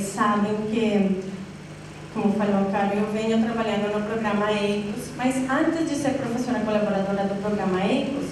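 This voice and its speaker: Colombian woman lecturing in an echoey hall. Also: a little high. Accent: Colombian Spanish. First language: Spanish. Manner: deliberate, searching phrasing with many pauses. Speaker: university lecturer. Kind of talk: university lecture. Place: university classroom or auditorium. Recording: echoey hall from far off, noisy.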